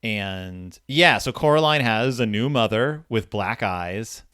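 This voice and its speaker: Low-voiced man, dry close sound. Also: lively intonation, slow pace, bright, forceful tone, smooth, no echo. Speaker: podcast host. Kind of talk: casual discussion of a story.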